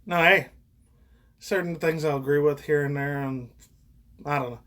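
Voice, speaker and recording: A man's voice: on the high side, steady tone, normal speed, a bit bright. A man in his thirties giving casual, rambling reaction commentary as a YouTuber, frank and profane. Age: 30s. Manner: crude, frank, profane, chuckling asides.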